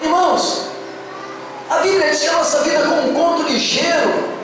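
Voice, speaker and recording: A man, preaching a fervent sermon as pastor and swinging between hushed and shouted, sing-song phrasing. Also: very high-pitched, moderate pace, a little hiss or hum. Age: 50s. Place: church service. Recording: very echoey hall, picked up from a distance. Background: music playing.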